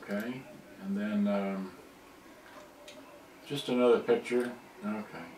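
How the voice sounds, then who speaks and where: A man with a lowish voice talks casually and haltingly, rambling as he explains things like a hobbyist repairer. He sounds set back from the microphone in an echoey room, with a faint layer of noise. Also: steady tone. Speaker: electronics repair hobbyist presenting. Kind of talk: casual off-the-cuff commentary over photos. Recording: roomy, distant mic, faint noise.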